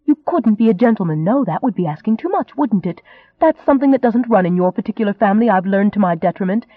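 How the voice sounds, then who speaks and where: A man acting a fiery, high-pitched indignant young woman. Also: moderate pace, no echo, narrow, tinny audio. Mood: bitter sarcasm. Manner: indignant, biting, emphatic. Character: fiery, indignant young Englishwoman, proud and sharp-tongued.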